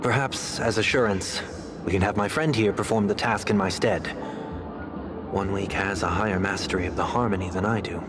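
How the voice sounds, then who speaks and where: Man acting a low-voiced, courteous game gentleman. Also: fairly even intonation, moderate pace, close to an everyday voice. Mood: courteous, earnest. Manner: formal and polite. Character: courteous, composed, formal gentleman, earnest and measured. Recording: game music behind the voice.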